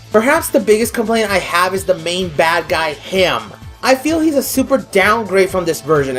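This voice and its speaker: A woman gives a scripted review in a blunt, exasperated way, free with her swearing. Her voice sits at a middling pitch, with music playing behind it. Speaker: online anime reviewer. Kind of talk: scripted video review commentary.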